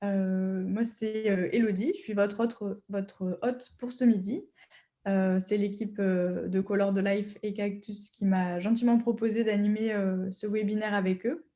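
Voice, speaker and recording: A woman's voice: mid-range voice, fairly even intonation, a little slow, warm tone. A woman hosting an online webinar, explaining the program with frequent hesitations. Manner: hesitant, many fillers. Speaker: webinar host. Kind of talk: webinar moderation.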